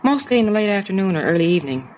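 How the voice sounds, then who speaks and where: A woman playing a helpful, chatty shopkeeper who talks quickly in an everyday middle register. It is 1950s radio acting on an old recording with faint hiss behind. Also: mid-range voice, sing-song, darkish tone, close to an everyday voice. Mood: helpful, obliging. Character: chatty, helpful female small-store shopkeeper.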